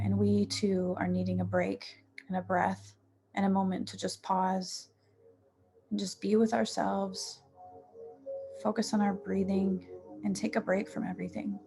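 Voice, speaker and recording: A woman teaching yoga nidra, guiding a meditation in a soothing, unhurried manner with pauses between short phrases. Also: medium pitch. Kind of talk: guided meditation. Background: music.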